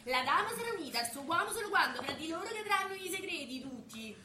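A woman's voice: very high-pitched, lively intonation, quick pace, noisy background. A woman playing a seductive, bossy lady in a mock-medieval stage farce, with a grandiose, florid and teasing delivery. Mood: grandly lustful. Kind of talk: theatrical stage comedy, mock-archaic speech. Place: live theatre stage. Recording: echoey stage recording with music.

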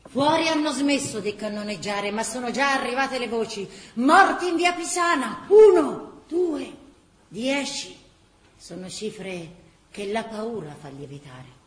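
An actress with a Tuscan accent narrating a wartime chronicle as an impassioned, vivid radio-theatre storyteller. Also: female voice, on the high side, animated, unhurried. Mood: grave, intimate. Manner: vivid, theatrical storytelling that breaks into characters' cries. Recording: roomy stage sound, faint background noise.

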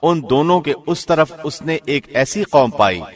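A man reading a scripture translation in a formal, measured radio presenter's delivery. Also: fairly high pitch, animated. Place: studio.

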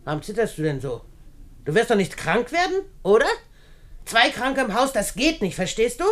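A woman's voice: on the high side, big pitch swings, normal speed, a bit bright, dry recording. An actress playing a domineering, stingy old invalid aunt in a radio play, imperious and nagging as she scolds. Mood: impatient, scolding. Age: older. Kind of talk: German radio play acting.

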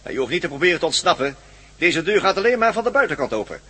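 An actor playing a cruel, gloating captor in a radio drama, with a taunting, mock-polite and deliberate delivery. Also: male voice, fairly high pitch, very animated, sharp tone, slightly rough, exaggerated voice. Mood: coldly menacing.

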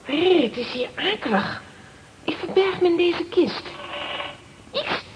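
A woman playing a plucky, jumpy little girl with an anxious, excitable delivery in a children's comic-strip radio play. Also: very high-pitched, takes it slowly, lo-fi sound, background noise, exaggerated voice. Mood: frightened.

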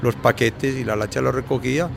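A man's voice: mid-range voice, fairly even intonation, quick pace, creaky, background noise. A man with a Colombian accent giving informal testimony, with repetitive, emphatic phrasing. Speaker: interviewee, brother of the man discussed.

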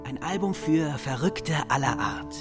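A man's voice: mid-range voice, lively intonation, unhurried, natural voice. A man acting a brooding, resolute rock musician and songwriter in a German radio play. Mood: brooding, emphatic. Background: loud guitar and piano music.

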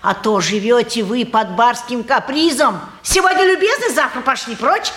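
An actress playing a brisk, folksy, sly townswoman of about forty-five, speaking in sing-song patter with pious sayings in an old stage manner. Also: on the high side, animated, fairly bright tone. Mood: folksy, lamenting. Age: middle-aged. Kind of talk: mid-century Russian stage acting. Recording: roomy 1950s theatre radio recording.

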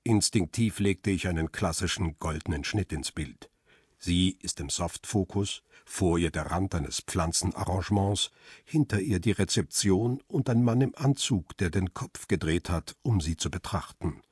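An actor playing a world-weary middle-aged photographer who narrates a crime radio play in a measured, reflective way. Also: male voice, low voice, darkish tone, breathy edge, no room sound, studio quality, heavily acted. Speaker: first-person narrator.

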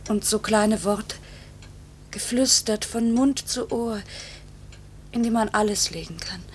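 An actress playing a pilot's anguished young wife in a 1950s German radio drama, pleading and accusing in a halting, emotional, breathy delivery. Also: female voice, mid-range voice, lightly acted. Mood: wistful, tender. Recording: hissy 1950s radio recording, faint hum.

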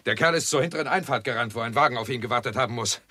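An actor playing a brisk, forceful armed guard in a 1960s German radio drama, with a commanding manner. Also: male voice, medium pitch, no echo. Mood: urgent, brisk.

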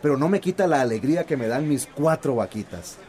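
An actor playing a cheerful, dreamy small-time dairy farmer in a radio drama, chatty and expressive. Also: male voice, medium pitch, a little fast, lightly acted. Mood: cheerful, joking.

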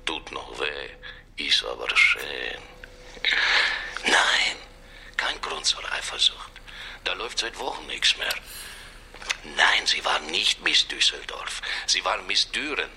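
An actor playing a crude, amorous Russian gangster murmuring intimately and bluntly on the phone, gravelly and breathy, with broken grammar. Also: male voice, very deep voice, animated, slow, pauses often, sharp tone, whispered, heavily acted. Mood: sultry, secretive.

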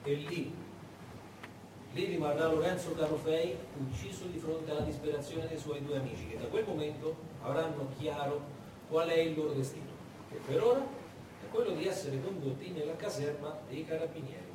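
A man's voice: a little high, normal speed. A man performing a dramatic narrative monologue, full of rhetorical questions and emphatic repetition, as a stage storyteller. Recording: echoey distant theatre recording, faint noise.

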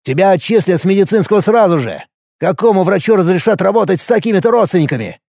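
A man's voice: high-pitched, expressive, fast, a little mellow, no echo, clean studio sound, voice pushed up. Man acting a desperate, scheming older brother. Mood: menacing, insistent. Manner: pressuring, insistent.

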